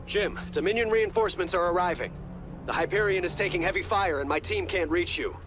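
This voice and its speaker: An actor playing an earnest, composed young commander in a video game cutscene, with measured, formal, articulate speech. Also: male voice, sits high, music in the background, close to an everyday voice. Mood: urgent.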